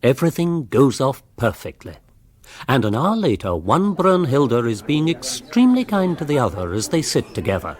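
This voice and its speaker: An actor narrating a genteel village comedy in a wry manner for a radio drama. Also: male voice, medium pitch, huge pitch swings, moderate pace. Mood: wry narration.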